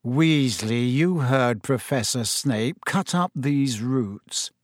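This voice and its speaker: A man's voice: medium pitch, takes it slowly, no echo, clean studio sound. A man voicing a smug, malicious schoolboy bully in an audiobook, with a drawling, mock-plaintive manner. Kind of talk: audiobook character voice.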